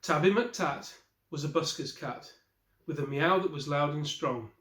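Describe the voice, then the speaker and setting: A man in his forties reads a children's picture book aloud. His voice sits a little high for a man, and he tells the tale expressively. He is in a small room with plenty of echo around the voice. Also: measured pace. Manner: expressive storyteller with brief asides to listeners. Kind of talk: storybook read-aloud. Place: small wallpapered room indoors. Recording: roomy, echoey indoor recording.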